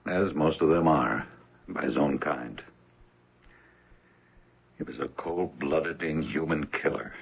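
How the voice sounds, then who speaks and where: Tough commanding cop boss, gravelly, lively pitch swings, muffled old radio recording. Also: male voice, heavily acted. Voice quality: gravelly, raspy. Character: hard, commanding male police chief hunting a hoodlum. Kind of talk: old-time radio drama acting.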